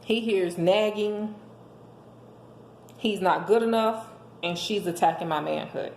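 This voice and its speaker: A hoarse woman giving an emphatic, preachy motivational monologue, speaking as a host handing out relationship advice to listeners. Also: medium pitch, takes it slowly, darkish tone. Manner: emphatic, preachy, rhetorical questions. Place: indoors at home. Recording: echoey room with faint background noise.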